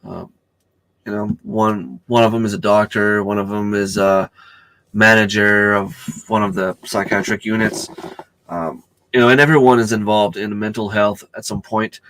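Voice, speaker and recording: Hoarse man chatting on a live stream. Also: lowish voice, little pitch movement, normal speed, a bit bright, no echo, rough recording. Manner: rambling, chatty, greets viewers by name. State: losing his voice from a cold. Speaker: channel host. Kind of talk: casual live stream chat. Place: home.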